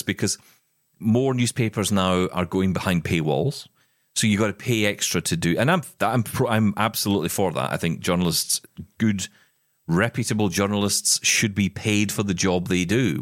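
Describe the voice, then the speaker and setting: A man's voice: lowish voice, normal speed, no echo, studio quality. A middle-aged man co-hosting a podcast, talking in an impassioned, emphatic way with plenty of restarts. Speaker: podcast co-host. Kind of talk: casual chat.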